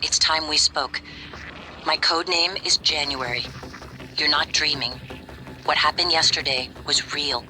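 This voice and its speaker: A man voicing a calm, cryptic machine guide in a video game, giving terse, measured instructions. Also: a little high, slight background noise, poor audio. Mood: calm, cautioning. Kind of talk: game voice acting.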